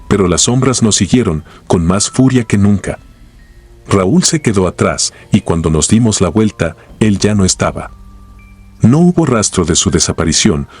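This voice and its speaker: A man narrating horror stories in a grave, measured, scripted way. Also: low voice, a little mellow, breathy edge.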